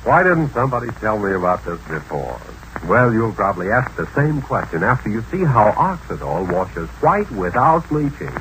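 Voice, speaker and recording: A man's voice: on the low side, very animated, normal speed, fairly bright tone. A man announcing a commercial in the style of 1940s radio advertising. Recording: faintly noisy old radio recording.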